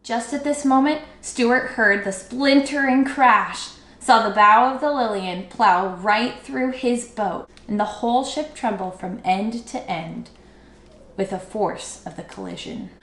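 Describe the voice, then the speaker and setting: A woman reading a children's book aloud expressively, with dramatic, drawn-out emphasis. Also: on the high side, fairly bright tone. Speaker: storybook read-aloud teacher. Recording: echoey room.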